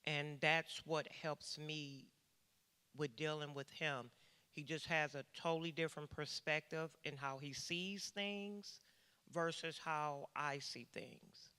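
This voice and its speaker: A woman gives a heartfelt testimony in church, speaking slowly and reflectively. Her voice is bright and smooth. A long church-hall reverb trails her words. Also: deep voice, steady tone. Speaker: congregation member giving testimony. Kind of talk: personal testimony.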